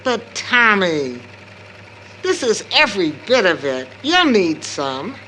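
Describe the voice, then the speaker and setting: A woman's voice: medium pitch, sing-song, slow, pushed and bright, slight background noise, full character voice. A woman acting a tired, loving, anxious mother of two in an audio drama, with tender motherly endearments. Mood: warm, proud.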